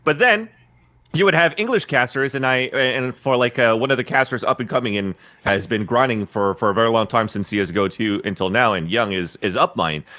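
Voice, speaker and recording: A man's voice: medium pitch, a little fast, bright, forceful tone, no room sound. A man, a guest commentator on a podcast, talking earnestly and giving advice with casual swearing.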